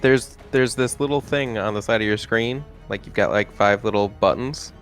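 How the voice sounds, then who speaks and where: Lowish-voiced man at a tabletop game. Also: moderate pace, fairly bright tone. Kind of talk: casual chat. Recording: music under the voice. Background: music.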